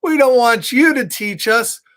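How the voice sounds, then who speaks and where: A man's voice: very high voice, unhurried, fairly bright tone, voice pushed up. Man voicing little storybook squirrel, eager, cheeky, blunt, keen.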